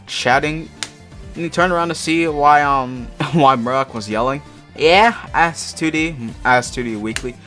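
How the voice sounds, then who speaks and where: A man's voice: a little high, bright, forceful tone. Sweary man reading aloud over music. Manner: sweary, mocking commentary, stumbling over the text. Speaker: amateur fan fiction reader. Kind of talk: casual read-aloud of a story with asides. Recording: music playing behind the voice. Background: background music.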